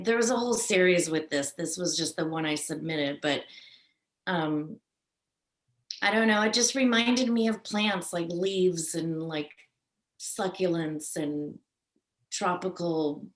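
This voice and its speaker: A woman artist chatting casually about her own painting. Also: fairly low pitch. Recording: roomy, echoing sound.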